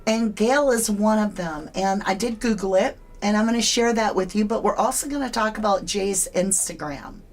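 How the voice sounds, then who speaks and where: A chatty woman hosts a true crime live stream in a casual, folksy way, throwing in asides and calling out viewers by name. Her voice sits at an everyday middle pitch for a woman and comes through a home desk microphone. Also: normal speed, few pauses. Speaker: true crime livestream host.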